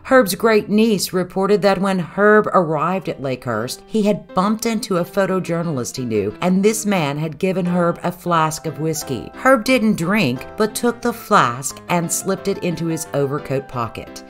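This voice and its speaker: A woman's voice: on the low side, lively intonation, a little slow, hardly any pauses, faint music behind. A woman reading scripted narration for a history documentary.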